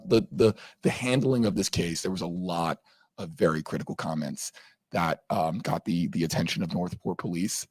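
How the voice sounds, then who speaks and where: Hoarse deep-voiced male news anchor. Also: darkish tone, no echo. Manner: halting, repeats words. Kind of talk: informal live news coverage.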